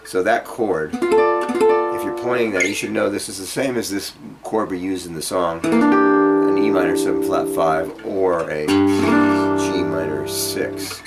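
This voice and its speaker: A man's voice: sits low, steady tone, a little slow. A man giving an informal guitar lesson, explaining piece by piece in a hesitant way as he demonstrates. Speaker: guitar teacher. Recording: loud guitar playing alongside voice. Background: acoustic or jazz guitar playing.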